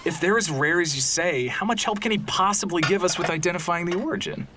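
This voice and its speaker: A man's voice: high-pitched, quick pace, noisy background, close to an everyday voice. A man playing an earnest, anxious archaeologist's assistant with a wry streak in an audio drama. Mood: worried. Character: male archaeologist's assistant, anxious, deferential, wryly sarcastic.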